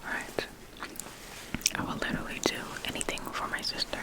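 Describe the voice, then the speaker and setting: A calm, reassuring ASMR performer in a roleplay, whispering breathily and soothingly close to the microphone. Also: moderate pace. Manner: calm, soothing, reassuring, with soft repeated affirmations. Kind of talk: ASMR personal attention roleplay.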